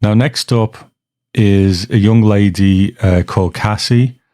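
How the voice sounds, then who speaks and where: A man with a low voice hosts a podcast in a dry, close recording. He talks casually and reflectively, wandering into asides as he goes. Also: slow, warm tone, dry recording. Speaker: podcast host. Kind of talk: casual hosting talk, introducing listener clips.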